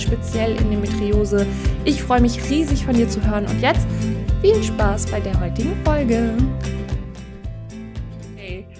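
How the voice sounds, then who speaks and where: A woman hosting a podcast in a casual, chatty, informal way with effusive wording. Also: fairly high pitch. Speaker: podcast host and personal development coach. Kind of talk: casual podcast interview talk.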